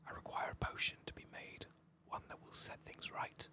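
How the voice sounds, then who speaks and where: A man doing soft-spoken, whispered ASMR game commentary in a hushed, breathy, gravelly voice, murmuring without hurry. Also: normal speed. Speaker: ASMR game playthrough host.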